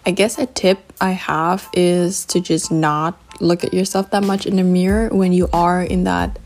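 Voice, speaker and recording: A young woman hosting a casual solo podcast, candid, informal and slangy, with a slightly raspy just-woke-up voice. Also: fairly low pitch, little pitch movement, measured pace, darkish tone, soft background music. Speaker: podcast host.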